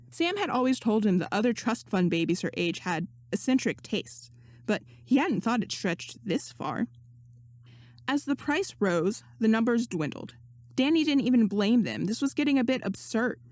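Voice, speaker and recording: A woman reading fan fiction aloud as narrator, in an expressive audiobook style. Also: medium pitch, no echo, clean studio sound. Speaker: podfic narrator.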